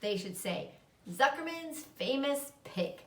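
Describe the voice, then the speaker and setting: A woman's voice: sits high, sing-song, slow, pitched above the performer's own voice, exaggerated voice. A woman voicing a proud, bossy male farmer in a storybook, giving emphatic orders. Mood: commanding. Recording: roomy echo, distant mic.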